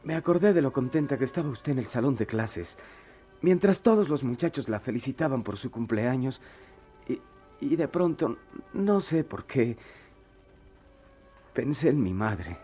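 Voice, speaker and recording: An actor playing a troubled, proud, remorseful working-class former pupil in a radio soap, with a Mexican accent and halting, colloquial speech. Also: male voice, mid-range voice, expressive, dark tone, soft background music, lightly acted. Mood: confessional, subdued. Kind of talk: melodramatic radio soap acting.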